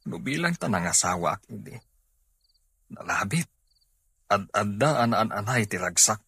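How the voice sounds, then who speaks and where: A man's voice: lowish voice, animated, moderate pace, gravelly, pushed and bright, slightly rough, no room sound, full character voice. A man with an Ilocano Filipino accent playing a brooding, kindly middle-aged father in a radio drama. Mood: pensive, evasive.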